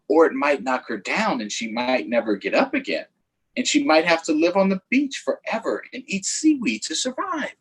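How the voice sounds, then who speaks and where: A man's voice: high-pitched, a bit bright, husky. A man hosting a children's story time, reading aloud in a playful, sing-song way with chatty asides to viewers.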